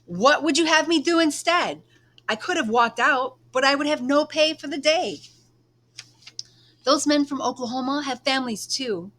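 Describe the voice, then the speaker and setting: A woman reading a children's book aloud, playing a frustrated young male labourer in a sharp, emphatic way. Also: high voice, moderate pace, voice pushed up. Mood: angry, sharp.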